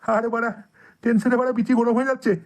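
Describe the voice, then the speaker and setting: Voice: mid-range voice, little pitch movement, fast, noisy background, close to an everyday voice. A performer voicing an anxious, pleading elderly village father in a comedy cartoon dub.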